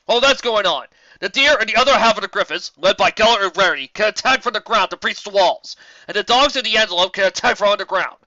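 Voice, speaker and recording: A booming griffin commander from a fantasy audio story. The voice is raised high and bright, with hearty, posh officer exclamations and jolly confidence. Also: male voice, fast, dry recording, highs cut off. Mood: jolly, rallying.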